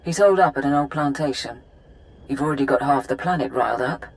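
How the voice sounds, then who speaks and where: A woman's voice: very deep voice, fast talker, poor audio. A woman playing a pragmatic, businesslike Imperial intelligence officer briefing a commander in a video game. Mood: pragmatic, confiding.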